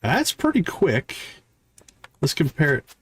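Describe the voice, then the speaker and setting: A man livestreaming a game, thinking aloud in casual commentary and reading item stats in a deliberate, musing way. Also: fairly high pitch, wildly swooping pitch, fairly bright tone, breathy edge.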